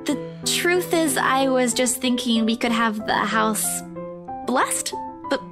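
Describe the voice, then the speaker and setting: A woman's voice: a little high, lively intonation, takes it slowly, vocal fry, heavily acted. An actress playing a timid, apologetic young woman in a visual novel game, hesitant and stammering. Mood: hesitant, sheepish. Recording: music behind the voice.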